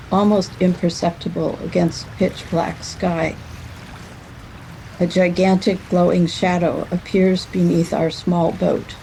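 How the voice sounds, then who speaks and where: An older woman reads literary prose slowly and deliberately. Her voice is fairly low and mellow, with a clear creak in it. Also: slight background noise, rough recording. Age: over 60. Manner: measured, deliberate phrasing. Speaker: writer reading own work. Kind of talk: literary reading of a prose memoir.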